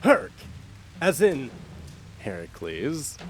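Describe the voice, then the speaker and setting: A woman voicing a haughty young male king who shouts pompously. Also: extremely low pitch, sing-song, drawn-out words, a little hiss or hum, voice pushed down, heavily acted. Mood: incredulous.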